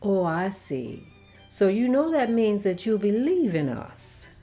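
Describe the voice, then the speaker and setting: A gracious, kindly mistress of a mansion, read by a woman, her voice mellow and warm, rising and falling freely as she speaks in an unhurried way. Faint music plays behind her. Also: medium pitch, big pitch swings. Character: Miss Van Loo, a kindly older estate mistress. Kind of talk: amateur table read.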